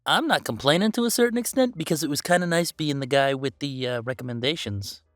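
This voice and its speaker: A man's voice: a little high, quick pace, fairly bright tone, dry recording, studio quality, faint music behind, lightly acted. A man playing a playful, easygoing young werewolf boyfriend in a casual roleplay audio, chatting in a teasing, emphatic way. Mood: warm, chatty.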